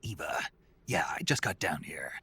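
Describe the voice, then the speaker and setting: A man playing a gruff, weary soldier on a covert mission in a video game, terse and dry with a gravelly rasp. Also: extremely low pitch, expressive, normal speed, pushed and bright, dry recording, heavily acted. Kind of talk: video game cutscene acting.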